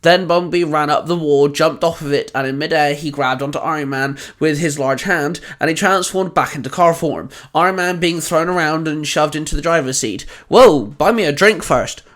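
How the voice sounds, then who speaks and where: Man, story narrator and host, fairly high voice, scripted reading. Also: brisk, hardly any pauses, fairly bright tone. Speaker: host and narrator of fan fiction audio stories.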